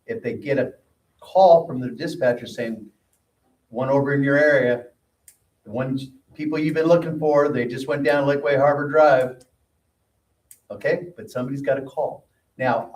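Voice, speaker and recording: A man's voice: medium pitch, normal speed, a little mellow. Plainspoken man in a roomy meeting hall. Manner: plainspoken, reassuring, gives practical advice. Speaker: association board member answering residents. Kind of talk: community meeting discussion. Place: meeting room. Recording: roomy hall audio from a distant mic.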